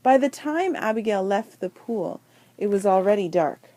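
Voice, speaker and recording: A woman reading a children's picture book aloud with deliberate, dramatic phrasing and emphasised words. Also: medium pitch, animated, dark tone. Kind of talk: expressive children's storybook read-aloud.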